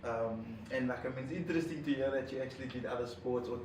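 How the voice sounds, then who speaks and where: A Zambian man hosting a relaxed podcast chat, pausing on lots of ums and uhs and laughing easily. He sits back from the mic in a roomy, echoing space with faint noise behind him. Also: medium pitch. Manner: hesitant, many ums and uhs, laughs often. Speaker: podcast host. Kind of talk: casual interview chat. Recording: echoey room, mic at a distance, background noise.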